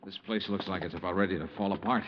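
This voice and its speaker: An actor playing a tough, sardonic insurance investigator in a golden-age radio detective drama. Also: male voice, deep voice, steady tone, quick pace, close to an everyday voice. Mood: urgent. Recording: muffled, noisy vintage radio recording.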